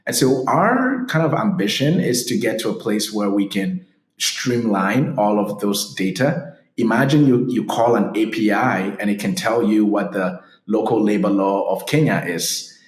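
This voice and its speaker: A man from Ghana with a lowish voice explains his field as a podcast guest, upbeat and steady, tagging points with "right?". His voice rings in an echoey room. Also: normal speed. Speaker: startup founder guest. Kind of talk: podcast interview answers.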